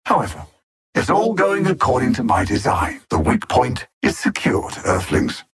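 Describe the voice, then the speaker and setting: Raspy, condescending alien supervillain. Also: male voice, mid-range voice, wildly swooping pitch. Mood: smug, menacing. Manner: condescending, menacing, theatrically deliberate. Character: cold, arrogant alien supervillain machine intellect scorning Earthlings. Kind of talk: scripted video game villain dialogue.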